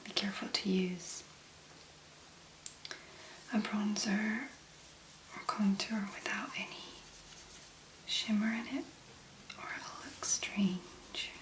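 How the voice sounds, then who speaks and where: A woman speaking softly and breathily in an ASMR make-up tutorial, gently musing in a hesitant, unhurried way. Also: medium pitch, fairly even intonation, roomy sound, poor audio. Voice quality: soft, breathy, mostly whispered. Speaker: ASMR content creator, make-up demonstrator.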